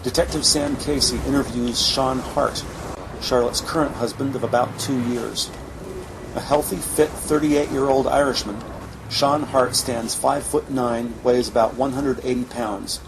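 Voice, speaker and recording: A man's voice: mid-range voice, steady tone, measured pace. Male drama narrator over noisy background. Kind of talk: scripted audio drama narration.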